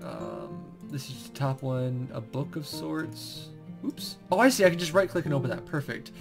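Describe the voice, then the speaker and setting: Young adult gamer, medium pitch, casual playthrough chat, story text read aloud, soft game music. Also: sing-song, normal speed, gravelly. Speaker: gaming video host.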